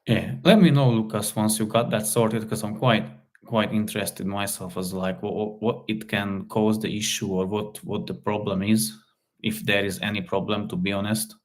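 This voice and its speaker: Low-voiced Hungarian-speaking man chatting on stream. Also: fairly even intonation. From Slovakia. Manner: halting, repeats words, many fillers. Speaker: live stream host, car repair hobbyist. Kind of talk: casual live stream chat reading comments.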